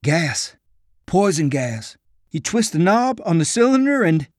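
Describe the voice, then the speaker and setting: A man voicing an uneasy army private in an audiobook war story, plain-spoken, halting and nervous. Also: a little high, very animated, no room sound, clean studio sound. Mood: uneasy explaining. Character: uneasy young army private spooked by danger.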